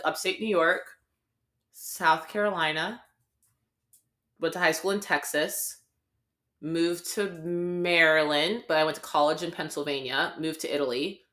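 A woman's voice: low voice, a bit bright. A young adult woman co-hosting a podcast, chatting casually and rambling with lots of "like" fillers. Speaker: podcast co-host.

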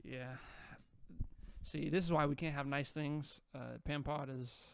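A man's voice: on the high side, steady tone, measured pace, gravelly, smooth, no echo, studio quality. A young man, a rapper, chatting casually on a podcast. He rambles loosely and swears a lot.

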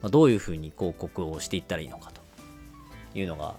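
A man's voice: low voice, expressive, a little fast, mellow, faint music behind. A man hosting a podcast, explaining and narrating in a polite, casual way. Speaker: podcast host.

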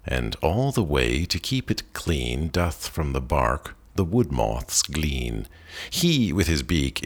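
Very deep-voiced man reading verse slowly. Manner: measured, expressive reading with lively rises on exclamations. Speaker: poetry reader. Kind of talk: poetry reading.

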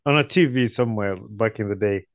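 A Ugandan man hosting a relaxed podcast chat, his voice sitting low. He rambles and hedges, circling back with "like". The sound is dry and close, with no room echo. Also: brisk. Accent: Ugandan English. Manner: rambling, hedging with many "like"s. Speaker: podcast host. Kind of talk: casual interview chat.

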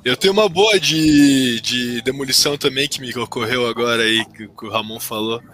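A man's voice: mid-range voice, normal speed, fairly bright tone. A man in casual podcast chat, trading joking banter with the others.

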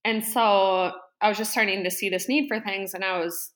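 Bright, chatty woman podcast guest. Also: mid-range voice, fairly even intonation. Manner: chatty and rambling, full of fillers and quick laughs. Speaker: podcast guest, interior design business owner. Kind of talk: casual interview chat.

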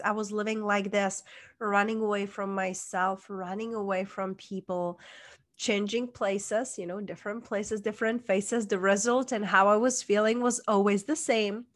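A woman with a Czech accent, a guest coach telling her personal story in an interview, earnest and emphatic. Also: medium pitch. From Czech Republic.

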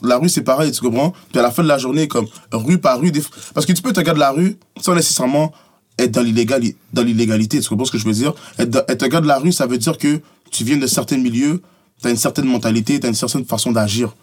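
A man speaks with a Montreal Quebec French accent in a relaxed interview, words tumbling out quickly. His voice has a slightly husky edge. He is emphatic, leaning on "bro" and "you understand" to drive points home. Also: mid-range voice. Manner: emphatic, repeats "tu comprends" and "bro". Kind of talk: casual interview talk.

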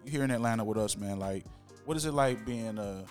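A man's voice: lowish voice, fairly quick, darkish tone. A man hosting a casual podcast chat, asking probing questions in slangy, conversational speech. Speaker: podcast host. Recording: music under the talk.

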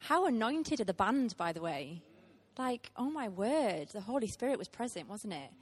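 A woman's voice: on the high side, big pitch swings, moderate pace, breathy edge. A woman, preaching a heartfelt sermon to a church with frequent ums. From Teesside, England. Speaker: guest preacher.

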